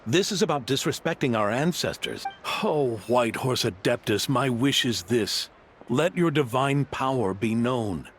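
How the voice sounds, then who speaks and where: A man's voice: mid-range voice, expressive, unhurried, a little hiss or hum. An actor playing a proud older male guest house owner in a video game, speaking in a theatrical, emphatic storytelling manner. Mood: indignant. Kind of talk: animated game dialogue, storytelling. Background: music.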